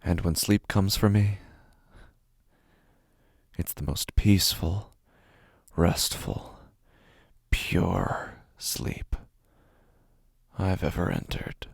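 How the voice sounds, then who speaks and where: An actor playing an anxious, unraveling office worker, narrating a first-person horror audio drama in halting, intense short phrases. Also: male voice, low-pitched, vocal fry, slightly rough, no room sound, studio quality, full character voice. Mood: subdued, drained.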